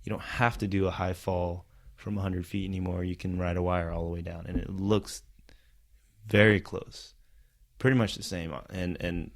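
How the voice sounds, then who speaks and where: A man's voice: low-pitched, little pitch movement, normal speed. A middle-aged man whose first language is Vietnamese, talking casually and animatedly as a podcast guest and stunt performer.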